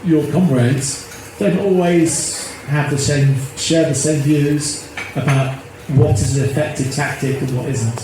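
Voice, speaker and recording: A man's voice: on the high side, measured pace, few pauses. A man in his fifties, an activist being interviewed, talking earnestly in a rambling way that repeats itself. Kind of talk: casual interview. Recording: echoey, noisy phone recording.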